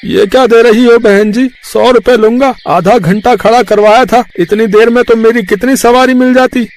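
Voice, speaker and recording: A man's voice: very high voice, fairly even intonation, normal speed, a little mellow. A man playing an impatient rickshaw driver in a moral story, complaining and insistent. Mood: annoyed.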